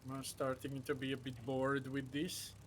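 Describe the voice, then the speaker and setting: A man's voice: medium pitch, monotone, moderate pace, a little hiss or hum. A man hosting a casual flight simulator livestream, chatting with other players and thinking aloud in a hesitant way.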